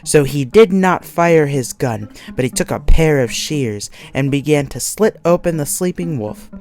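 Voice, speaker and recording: A woman narrating a scripted children's story as an expressive read-along storyteller. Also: low-pitched, measured pace. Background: soft music.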